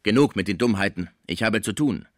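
Man playing a brisk, bossy theatre director. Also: lowish voice, no echo, clean studio sound, lightly acted. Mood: impatient. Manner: blunt, commanding. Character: brisk, bossy male theatre director, jovial but hard-nosed.

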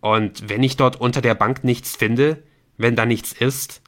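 A man's voice: medium pitch, fairly even intonation, unhurried, close to an everyday voice. A man voicing a young male gamer, hasty and uneasy, with an earnest, questioning manner in audiobook dialogue. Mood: doubtful.

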